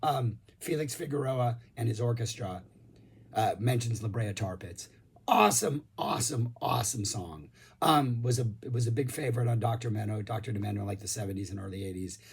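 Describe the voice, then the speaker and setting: A hoarse man losing his voice, rambling enthusiastically about music in a casual review vlog, with lots of ums. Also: medium pitch, fairly quick. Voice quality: husky.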